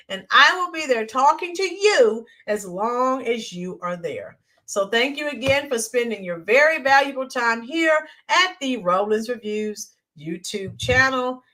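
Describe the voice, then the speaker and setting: A woman hosting a live stream, chatting in a rambling way with viewers and greeting them by name, her voice hoarse and worn. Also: high voice, pushed and bright. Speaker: YouTube channel host. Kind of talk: live-stream chat sign-off.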